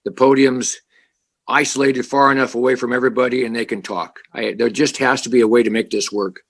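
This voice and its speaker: A man on a city council who speaks loudly and haltingly, often restarting his sentences, calling in to the meeting remotely. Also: medium pitch, fairly even intonation. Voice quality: loud voice. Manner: halting, many repeated starts, earnest. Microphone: remote video call.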